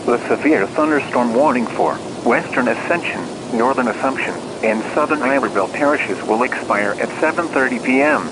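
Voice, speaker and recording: A formal, measured synthetic man reading a scripted emergency weather bulletin as a radio alert announcer. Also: medium pitch, noisy background.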